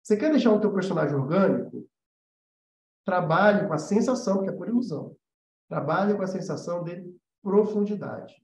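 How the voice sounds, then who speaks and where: A man's voice: high-pitched, expressive, normal speed, a little mellow. A Brazilian man giving an informal live lesson as a teacher, conversational and emphatic, repeating key points and checking that listeners follow. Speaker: animation teacher hosting a live class. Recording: roomy echo, slightly dull sound.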